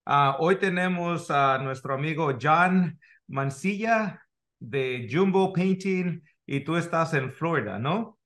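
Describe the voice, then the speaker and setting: A man hosting a casual podcast interview, his voice sitting a little high for a man. He feels his way through questions, restarting and mixing in English words as he goes. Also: takes it slowly, a bit bright. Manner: hesitant, many fillers and restarts, mixes English into Spanish. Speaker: podcast host.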